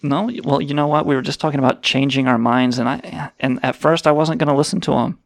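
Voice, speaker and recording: A man's voice: medium pitch, steady tone, brisk, creaky, no room sound, studio quality. A man hosting a crude comedy radio show, sarcastic and rambling, swearing freely. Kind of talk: irreverent comedic banter.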